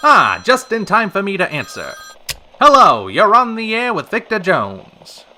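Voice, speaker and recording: Vintage radio drama lead: flamboyant male show host played by an actor, lively swooping pitch, sharp bright announcer polish. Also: measured pace, a little hiss or hum, exaggerated voice. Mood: breezy, curious. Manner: theatrical, polished announcer delivery. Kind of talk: 1940s radio acting.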